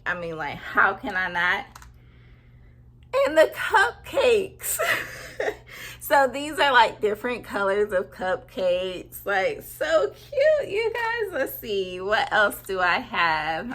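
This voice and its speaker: A woman's voice: medium pitch, very animated, slow, pushed and bright. A woman in her thirties, a sewing vlogger, chatting casually and giggling often as she talks to camera. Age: 30s. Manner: giggly, bubbly, lots of "like". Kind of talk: casual chat.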